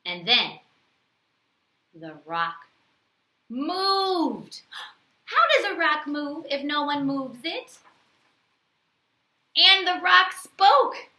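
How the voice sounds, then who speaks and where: A woman storyteller with a very bright, highly animated voice reads to young children. She is expressive and teacherly, pausing for answers, and the room adds a noticeable echo. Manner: expressive, teacherly questions with pauses. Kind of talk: bedtime story read-aloud, talking to young children.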